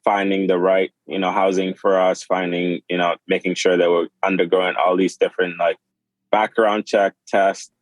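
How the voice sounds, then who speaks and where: A man in his twenties, from Sudan and Kenya, tells his story as a podcast guest. His voice sits very low and stays flat, with many fillers and restarts. The sound is dry and close. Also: moderate pace, dry recording. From born in Sudan, raised in Kenya. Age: 20s. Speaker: podcast guest, clothing designer. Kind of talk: casual interview.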